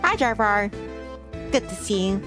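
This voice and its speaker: A woman's voice: mid-range voice, expressive, unhurried. A woman streamer chatting casually with her viewers during a game stream. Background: game music.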